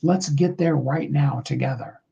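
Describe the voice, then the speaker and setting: A middle-aged man with a high tenor voice, giving a rambling, earnest motivational talk on a live call, full of fillers. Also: unhurried, gravelly, warm tone, slightly rough, highs cut off.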